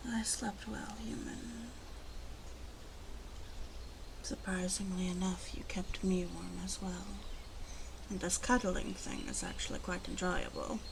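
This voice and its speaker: A woman playing a protective, bossy female werewolf in a soft-spoken ASMR roleplay, murmuring and often slipping into whispers. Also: on the low side, fairly even intonation, moderate pace. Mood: drowsy, tender. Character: female werewolf, gently protective, gruff, bossy toward humans. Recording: clearly audible ambient background noise.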